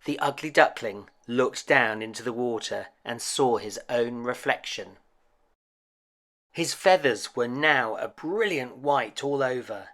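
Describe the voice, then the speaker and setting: A man reading a children's picture book aloud as a storyteller, with an expressive, deliberate delivery. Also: mid-range voice. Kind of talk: scripted children's story narration.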